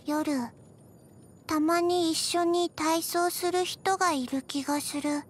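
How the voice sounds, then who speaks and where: Slow, high-voiced, deadpan undead little girl. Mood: flat, drowsy. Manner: halting, deadpan, soft-spoken with frequent pauses. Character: small undead zombie girl, gentle, forgetful, emotionless. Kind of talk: scripted video game character voice lines.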